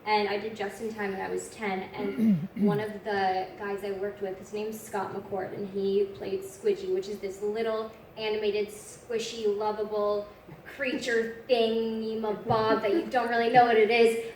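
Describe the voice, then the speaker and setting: A woman's voice: mid-range voice, little pitch movement, moderate pace, a little mellow. A young woman, a voice actress, chatting through panel answers with a rambling, friendly flow. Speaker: voice actress, convention guest. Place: large convention hall. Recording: echoey hall, audience noise, distant.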